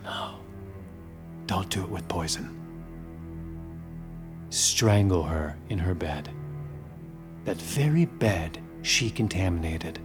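An actor playing a scheming, manipulative soldier in a Shakespeare drama, with an insinuating, persuasive delivery. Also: male voice, low-pitched, expressive, takes it slowly, long pauses. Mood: cold plotting. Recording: music clearly behind the voice.

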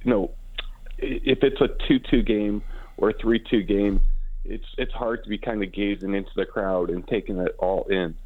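A man speaks in a low, raspy voice, worn hoarse from celebrating. He talks haltingly as an interview guest, often repeating words while he finds the next thought. The sound is thin and muffled, like a telephone line. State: hoarse and short of sleep after celebrating. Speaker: interview guest, hockey team co-owner and businessman. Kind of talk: casual radio interview. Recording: dull, phone-like band-limited line.

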